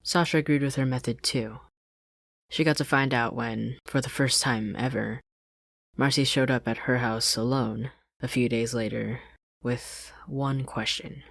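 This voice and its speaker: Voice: medium pitch, fairly bright tone, dry recording. A narrator reading fan fiction as scripted story narration, close to the microphone. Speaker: podfic narrator. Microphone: close studio-style desk microphone, dry.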